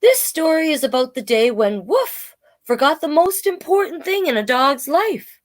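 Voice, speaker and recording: A woman in her forties giving a casual children's read-aloud, playful and expressive, with chatty asides. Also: high voice, normal speed. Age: 40s. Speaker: children's storyteller.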